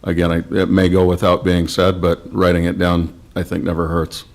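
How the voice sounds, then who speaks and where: Deep monotone guy at a county hearing, calm and agreeable. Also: a little fast, gravelly. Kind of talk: testimony at a public hearing. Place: county board meeting room.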